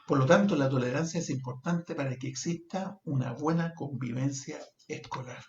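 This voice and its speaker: A man with a Chilean Spanish accent, a psychologist giving an online talk in a measured lecturing way. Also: mid-range voice. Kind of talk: online presentation. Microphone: video call. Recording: lo-fi roomy video call audio with faint noise.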